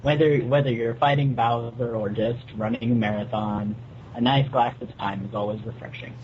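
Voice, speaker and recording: A man's voice: mid-range voice, steady tone, normal speed. A young man talking casually as a co-host of a group podcast. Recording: dull lo-fi voice chat, faint noise.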